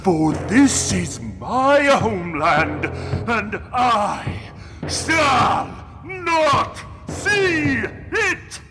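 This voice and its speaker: An actor playing a pompous film-hero overlord, declaiming grandly in a bombastic manner. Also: male voice, very high voice, very animated, extremely slow, shouting, over music, heavily acted. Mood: grandiose, defiant.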